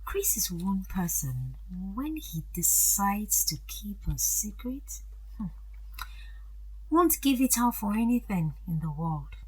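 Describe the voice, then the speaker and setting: An actress playing a wealthy, gracious older aunt in an audio drama, chatty and confiding, with a hesitant, deliberate delivery. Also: female voice, mid-range voice, sing-song, slightly rough, heavily acted, rough recording. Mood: confiding, musing.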